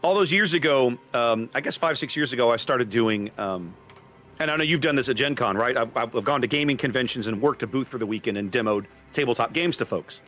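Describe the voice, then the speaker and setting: Loud-voiced male co-caster. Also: medium pitch, a little fast, music in the background. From Texas. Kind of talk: casual stream chat.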